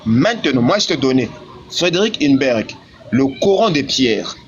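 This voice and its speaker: A man's voice: medium pitch, very animated, normal speed. A man debating religion heatedly, speaking in an insistent, repetitive, argumentative way over a live online connection. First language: not Arabic. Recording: muffled lo-fi call, background noise.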